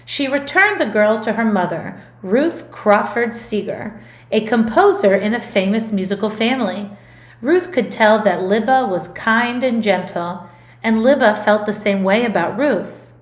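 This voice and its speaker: A woman music teacher reading a picture book aloud in an expressive, deliberate storytelling delivery. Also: medium pitch, echoey room.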